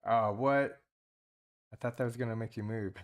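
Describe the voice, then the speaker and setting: A man's voice: mid-range voice, fairly even intonation, normal speed. A man giving casual, rambling commentary while playing mobile multiplayer games, thinking aloud with many restarts. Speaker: gaming channel host.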